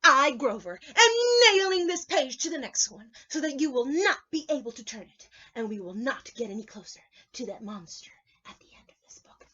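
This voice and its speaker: Fretful furry blue monster, male, high and pleading; a woman acts it out as she reads. Muffled, lo-fi sound. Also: big pitch swings, bright, forceful tone, full character voice. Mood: frantic. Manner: high, frantic, pleading, drawn-out. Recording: dull lo-fi recording.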